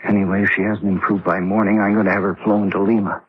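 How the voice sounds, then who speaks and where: Man playing a stern, low-voiced outpost doctor. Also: flat delivery, quick pace, close to an everyday voice. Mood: grave concern. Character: stern, practical male doctor at a remote outpost. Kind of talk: old time radio drama acting. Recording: dull, faintly noisy old radio recording.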